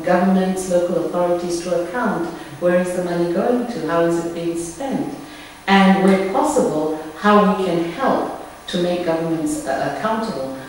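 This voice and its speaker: A woman with a Zambian accent, a media consultant and journalist, speaking in a measured, earnest way in an interview. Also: lowish voice, darkish tone. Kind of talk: recorded interview statement. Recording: echoey room, distant mic, faint noise.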